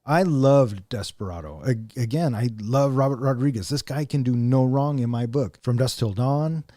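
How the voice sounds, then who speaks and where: A man's voice: medium pitch, moderate pace, dark tone, no room sound, studio quality. A man co-hosting a movie review podcast in casual chat, enthusiastic and joking, with playful swearing. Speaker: podcast co-host.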